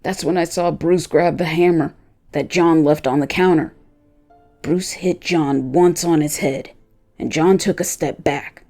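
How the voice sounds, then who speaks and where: A loyal, vengeful dog voiced by a narrator tells his story in the first person. The voice is soft-edged and gravelly, with deliberate dramatic phrasing over faint music. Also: medium pitch, fairly even intonation, natural voice. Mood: distressed.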